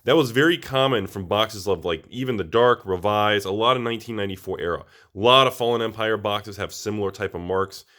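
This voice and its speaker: Slightly raspy, low-voiced American man. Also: fairly quick, few pauses, sharp tone. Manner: informal, rambling, addresses viewers directly. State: a little under the weather. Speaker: collectibles channel host. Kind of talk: casual product review talk to camera.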